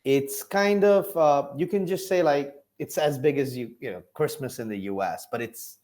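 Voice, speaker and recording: A man in his thirties with a Nepali accent, speaking as an interview guest. His voice sits at a middle pitch, and he explains things in an easygoing way, often with "you know" and "like". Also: moderate pace, a little mellow. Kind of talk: casual interview answers.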